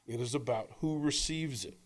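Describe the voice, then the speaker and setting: Male narrator, medium pitch, for inspirational audiobook read; earnest, emphatic, measured phrasing with dramatic pauses. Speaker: author reading own work; coach and radio host. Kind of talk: scripted inspirational audiobook-style reading.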